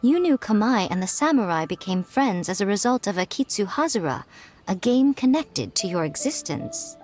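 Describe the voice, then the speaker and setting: A woman's voice: medium pitch, big pitch swings, normal speed, a little mellow, soft background music, close to an everyday voice. A woman voicing a powerful fox yokai priestess in a video game, composed, elegant and teasing. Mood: calm, knowing. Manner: composed, sly, elegant, self-assured.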